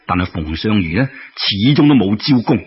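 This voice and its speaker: Animated Cantonese male storyteller, slightly husky. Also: lowish voice, moderate pace, lo-fi sound. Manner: animated, colloquial, with exclamations and sound effects. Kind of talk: dramatic Cantonese-style folk tale storytelling.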